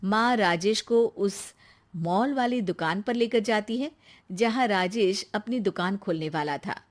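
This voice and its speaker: A woman's voice: medium pitch, unhurried. A woman reading scripted narration for a moral story.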